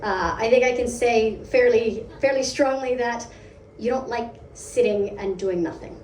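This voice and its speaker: Brief: American woman presenting at a meetup, fairly high voice, lively and chatty, echoey hall, distant mic. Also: unhurried, slight background noise. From United States. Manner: lively, conversational, asks the audience questions. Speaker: product lead giving a meetup talk. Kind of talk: live conference talk. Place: event hall.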